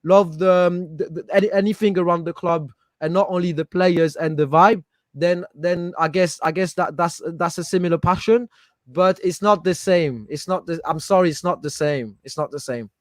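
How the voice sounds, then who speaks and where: A man's voice: high-pitched, normal speed, no echo. A man from Paris with a French accent, speaking English in a casual podcast debate. He talks with passion and often repeats words while he searches for them. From Paris, France. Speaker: guest, football fan.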